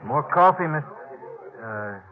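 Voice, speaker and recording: An actor playing a restless young cowboy who cooks at a stagecoach station, in a 1950s western radio drama. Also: male voice, fairly high pitch, expressive, slow pace, crowd noise. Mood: polite, eager. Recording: tinny, very noisy old radio broadcast.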